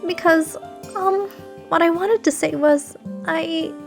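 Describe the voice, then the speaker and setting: A woman voicing an anxious, lovestruck teenage girl in a story, squeaky and stammering. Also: steady tone, vocal fry, music in the background, full character voice. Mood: stunned, flustered. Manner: stammering, rushed confessions. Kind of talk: story character dialogue.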